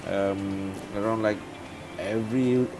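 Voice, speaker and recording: A middle-aged man from Malaysia, giving an interview as a guest and talking in a halting way, with many repeated words and fillers. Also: lowish voice, steady tone, music in the background, rough recording. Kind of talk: casual interview talk.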